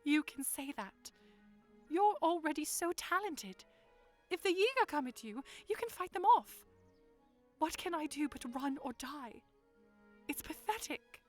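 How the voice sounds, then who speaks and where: A woman's voice: high-pitched, animated, a little slow, pauses often, faint music behind. A woman playing a proud but self-doubting young princess in an audio drama, speaking earnestly in formal wording. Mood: bitter, frustrated.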